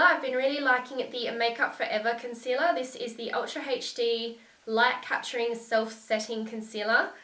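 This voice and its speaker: Bright-voiced nasal young woman. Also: fairly high pitch, far from the mic. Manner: gushing, enthusiastic. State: congested, nasal from a cold. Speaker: beauty vlogger. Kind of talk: casual product review to camera. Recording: echoey room, a bit off mic.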